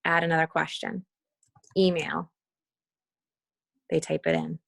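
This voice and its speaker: Creaky-voiced woman giving a casual tutorial. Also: sits low, fairly even intonation, unhurried, fairly bright tone, no echo. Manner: casual, thinking aloud while demonstrating. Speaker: team leader giving a tutorial. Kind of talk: informal screen-share walkthrough on a group call. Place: video call with screen share.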